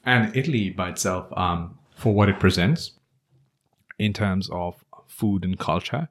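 A man from Nepal whose first language is Nepali, speaking English with a Nepali accent as a podcast guest, with a thoughtful, pausing chat. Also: low-pitched. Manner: thoughtful, many pauses with um and uh. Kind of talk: casual chat.

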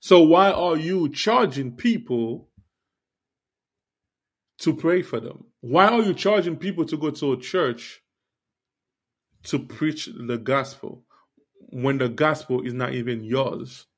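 A man's voice: fairly high pitch, lively intonation, slow pace, pauses often. A man born in Haiti hosting a faith podcast, talking straight to his listeners in an earnest, preachy way with many fillers. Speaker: podcast host. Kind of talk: casual faith podcast monologue.

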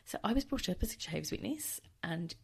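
A woman hosting a casual solo podcast, chatty and wry, with a tired, croaky voice, recording at home. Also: medium pitch, lively intonation, fast. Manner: chatty, wry and self-deprecating. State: hoarse, tired. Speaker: podcast host.

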